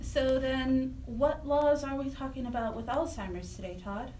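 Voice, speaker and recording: Female radio co-host, fairly high voice, casual chat, a bit off-mic with background noise. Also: fairly even intonation, moderate pace, mellow, echoey room, far from the mic. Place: radio show, live.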